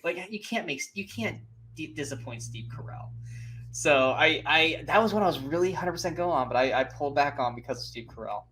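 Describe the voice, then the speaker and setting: A man co-hosting a casual movie debate podcast, animated and loose, with many fillers, laughs and the odd swear. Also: fairly high pitch, a little fast, slight background noise, low-quality recording. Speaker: podcast co-host.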